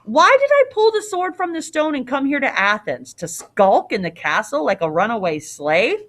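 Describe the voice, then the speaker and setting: A bold young prince from a storybook, played by a woman reading aloud, defiant and restless. The delivery is plucky and impetuous, with animated swings in pitch. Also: moderate pace. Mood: indignant.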